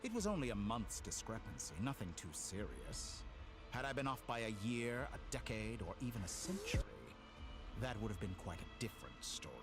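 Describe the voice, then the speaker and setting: A man voicing a smug, silky game villain with godlike power, theatrical and condescending in his mock-polite, deliberate delivery. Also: fairly low pitch, big pitch swings. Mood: smug, amused. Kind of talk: scripted video game dialogue. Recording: game music behind the voice.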